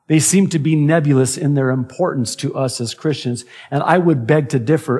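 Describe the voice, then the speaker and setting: A man in his forties, a native Arabic speaker, preaching a sermon from a church pulpit into a gooseneck mic with measured, emphatic phrasing. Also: a little high, darkish tone. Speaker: pastor.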